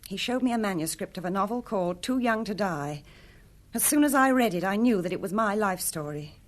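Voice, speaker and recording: A woman's voice: mid-range voice, expressive, a little fast, close to an everyday voice. A woman playing a retired film star in a radio drama, imperious and defensive, with formal, troubled delivery. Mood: confessional, troubled.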